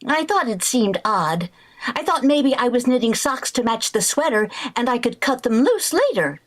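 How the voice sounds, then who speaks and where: A woman playing an excitable, scheming, flighty housewife in a 1940s-style radio comedy, theatrical and full of exclamations. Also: a little high, normal speed. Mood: flustered, earnest. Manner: theatrical, exclamatory, mock-innocent. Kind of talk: 1940s radio sitcom acting.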